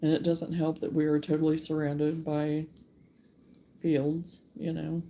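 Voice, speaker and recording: A woman's voice: deep voice, monotone, lo-fi sound, slight background noise. A middle-aged woman hosting a casual live stream from her rural home, chatting and drawing out her greetings to viewers. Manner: chatty, drawn-out greetings to commenters. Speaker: host of a live crochet stream.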